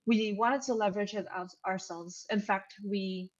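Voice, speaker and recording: A young woman from Indonesia, a startup co-founder, answering interview questions over a video call in a steady, matter-of-fact way. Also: medium pitch, normal speed, lo-fi sound. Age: young adult.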